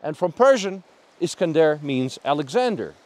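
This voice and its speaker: An upbeat man with a fairly high voice hosts a travel vlog outdoors by a mountain lake. He talks into a camera mic in a friendly, chatty way. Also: big pitch swings, moderate pace, darkish tone. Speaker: travel vlogger host interviewing. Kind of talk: on-location vlog chat.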